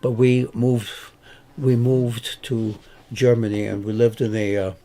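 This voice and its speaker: An older man in his eighties, originally from Hungary, tells his story slowly and haltingly, stopping often to find his words. His voice is mellow and darker in tone. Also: medium pitch, little pitch movement. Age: 80s. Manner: halting, many pauses and fillers. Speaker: interviewee grandfather. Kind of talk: casual recollection.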